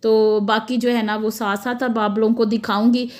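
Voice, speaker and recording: A middle-aged woman with a Pakistani Punjabi accent and a hoarse throat, chatting casually while demonstrating kitchen tasks and slipping into Punjabi. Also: fairly high pitch, flat delivery, normal speed, a little mellow. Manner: chatty, slips into Punjabi, laughs often. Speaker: cooking vlogger.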